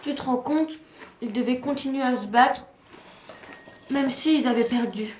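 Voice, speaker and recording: A woman's voice: high-pitched, a little slow. A woman playing a concerned listener to wartime memories in an audio drama. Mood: moved, thoughtful. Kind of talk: French audio drama acting. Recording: echoey room, background noise, clinking dishes.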